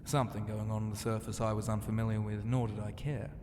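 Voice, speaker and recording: A man playing a flirty, self-assured ancient ocean god in an ASMR roleplay, teasing and grandiose, with a breathy voice that often drops into a whisper. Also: on the low side, little pitch movement, natural voice. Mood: relaxed, boastful. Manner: slow, teasing and grandiose, with hesitant hums and murmurs. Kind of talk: ASMR roleplay, intimate half-whispered delivery. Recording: long reverb, clear background ambient noise.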